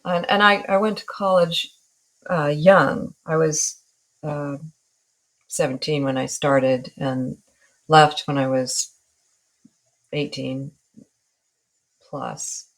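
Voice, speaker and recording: A woman's voice: sits low, steady tone, slow, long pauses, rough recording. A woman in her sixties from Northern California, a guest artist in an interview, talking in a reflective, wry way and laughing at herself. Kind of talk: relaxed interview talk.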